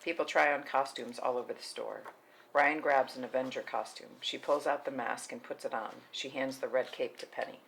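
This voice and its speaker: Very deep-voiced woman reading stage directions. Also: steady tone, moderate pace, gravelly, a bit bright, a little hiss or hum, rough recording. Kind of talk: scripted narration at a table read.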